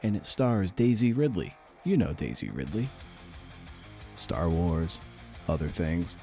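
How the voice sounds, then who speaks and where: A man's voice: sits low, animated, a little slow, vocal fry, darkish tone, soft background music. A man hosting a podcast, talking casually and colloquially with playful asides as he introduces and interviews.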